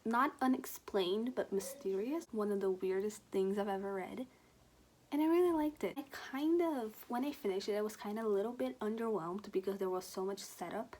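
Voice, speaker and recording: A young woman from Brazil, a native Portuguese speaker with a Brazilian accent, giving a candid, animated book review chat with casual swearing. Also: mid-range voice. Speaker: book vlogger.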